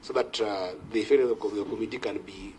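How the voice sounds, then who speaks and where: Man, Kenyan accent, fairly low pitch, formal senator, halting, deferential, slight background noise. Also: steady tone, darkish tone. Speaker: senator raising a procedural point. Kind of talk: formal parliamentary debate.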